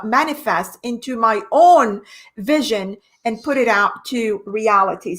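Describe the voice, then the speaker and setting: A woman from Morocco, a business owner, speaking as a guest in a radio studio interview, energetic with thoughtful pauses. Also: on the high side.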